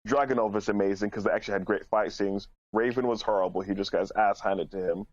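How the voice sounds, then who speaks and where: Low-voiced, blunt man on a muffled mic. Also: fairly even intonation, fast talker, dry recording. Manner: blunt, opinionated, swears. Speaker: podcast panelist. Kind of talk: casual podcast panel discussion.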